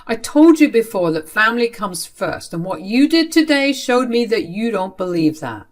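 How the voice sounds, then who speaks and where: A woman's voice: fairly high pitch, very animated, moderate pace, voice pushed up. A woman voicing an angry, unfair father in a dramatized story, speaking in a stern, accusatory way. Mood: angry, accusing.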